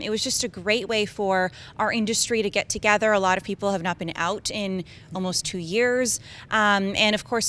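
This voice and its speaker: A hoarse woman with a raspy voice hosts a live interview at a trade show, upbeat and chatty with plenty of ums. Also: mid-range voice, fairly bright tone, slight background noise. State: hoarse, losing her voice. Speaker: show host.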